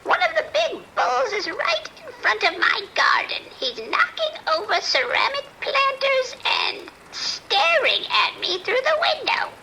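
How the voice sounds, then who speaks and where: A woman's voice: very high voice, big pitch swings, measured pace, vocal fry, hardly any pauses, bright, forceful tone, a little hiss or hum, exaggerated voice, low-quality recording. A woman playing a fretful, indignant resident phoning the sheriff in an audio drama. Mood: agitated, worried. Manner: anxious, indignant.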